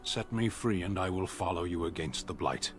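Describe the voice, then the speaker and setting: Game casting: man to play a solemn captive warrior, stoic and formal, low voice, seeking atonement, music underneath. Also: lightly acted. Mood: grave, resigned. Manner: formal, measured, grave. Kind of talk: video game voice acting.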